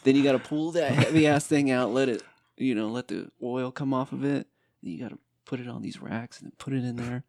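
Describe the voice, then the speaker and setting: A man's voice: mid-range voice, fairly quick, vocal fry, hardly any pauses, a little mellow, no echo, studio quality. A man hosting a podcast, chatting casually in a laid-back way, swearing and calling people "dude". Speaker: podcast host. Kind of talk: casual chat.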